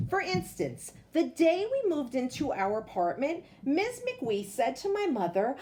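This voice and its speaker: A woman reading a children's picture book aloud in an expressive, deliberate storytelling manner, with emphatic phrasing for young listeners. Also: medium pitch.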